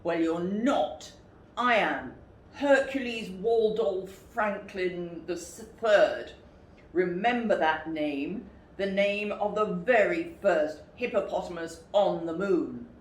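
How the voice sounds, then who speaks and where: A woman's voice: on the low side, takes it slowly, far from the mic. A woman voicing a pompous, rude, rich male hippopotamus astronaut from a storybook, with haughty, emphatic delivery. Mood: boastful. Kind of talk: storybook character voice. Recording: roomy echo, faint background noise.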